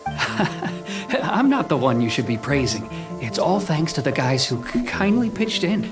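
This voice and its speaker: An earnest craftsman from an anime-style video game. He speaks politely and carefully, like a modest tradesman. Game music is clearly audible behind him. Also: a little high, very animated, measured pace. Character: modest, earnest male boat builder and craftsman.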